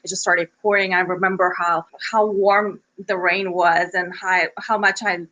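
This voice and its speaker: A woman's voice: lowish voice, flat delivery, moderate pace, fairly bright tone, lo-fi sound. A Bulgarian-born woman whose first language is Bulgarian, a children's book author being interviewed, who often laughs and speaks modestly. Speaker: guest author. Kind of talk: interview conversation.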